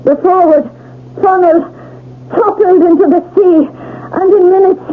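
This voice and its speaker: Troubled high-pitched wife, trancelike and theatrical, thin noisy old radio mystery sound. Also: female voice, fairly even intonation, a little slow, narrow, tinny audio. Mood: anguished. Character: troubled wife, trancelike as she recounts a shipwreck. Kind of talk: 1970s radio mystery drama acting.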